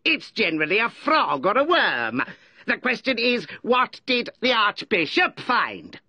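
Sharp-voiced, blunt duck. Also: male voice, high-pitched, huge pitch swings, a little slow, no room sound, pitched above the performer's own voice, full character voice. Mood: blunt, argumentative. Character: blunt, literal-minded, questioning duck.

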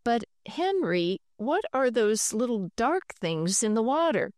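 A woman's voice: a little high, very animated, slow, no echo, pitched above the performer's own voice. A woman voicing a patient, wary mother. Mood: surprised. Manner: patient, mildly worried.

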